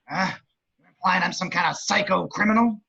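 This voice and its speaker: A man playing a crude, lecherous, scheming villain running for mayor, with a very raspy voice and wisecracking delivery, in an amateur script read. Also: high voice, a little fast, pushed and bright, highs cut off, full character voice. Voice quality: very raspy, gravelly. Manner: leering, wisecracking.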